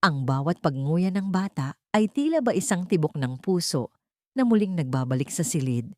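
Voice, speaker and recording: Low-voiced Filipino woman narrating a drama. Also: very animated, normal speed, dark tone, no room sound, studio quality. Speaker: audiobook narrator. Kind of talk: dramatic scripted story narration.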